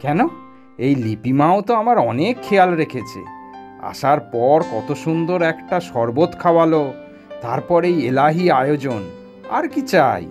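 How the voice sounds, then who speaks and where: Older foodie uncle for family cartoon female actor, genial, exclaiming, low register, very lively intonation. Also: normal speed. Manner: effusive, savouring exclamations. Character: genial, food-loving older maternal uncle, male. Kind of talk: animated family cartoon dialogue. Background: soft background music.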